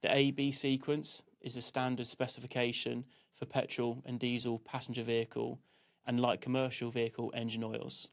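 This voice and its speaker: A man reading scripted narration for a training film in a measured, instructional way. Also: medium pitch, completely flat delivery, creaky.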